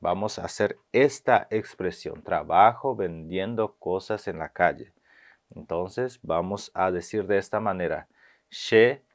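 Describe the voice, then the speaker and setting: A man, giving a video language lesson and slowly repeating phrases with hesitant fillers. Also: deep voice. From Paraguay. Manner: slow, careful repetition of phrases, with hesitant fillers. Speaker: language teacher.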